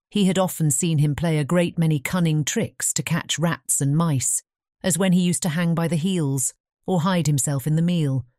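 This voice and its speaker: A woman's voice: low-pitched, mellow, no echo, clean studio sound. A woman with a British English accent reading scripted fairy-tale narration.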